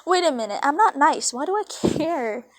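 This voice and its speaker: High-pitched, giggly young woman reading aloud. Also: animated, moderate pace, dry recording. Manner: giggly, informal, self-deprecating. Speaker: fan fiction reader. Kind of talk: casual read-aloud with chatty asides. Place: dry, close recording on a phone.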